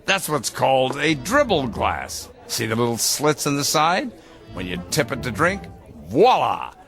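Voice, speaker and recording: An actor playing a jovial, pompous boss cutting loose over drinks, with an expansive, playfully grandiose theatrical delivery. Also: male voice, mid-range voice, very animated, unhurried, vocal fry, fairly bright tone, soft background music, exaggerated voice. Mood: gleeful. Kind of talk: theatrical radio drama acting.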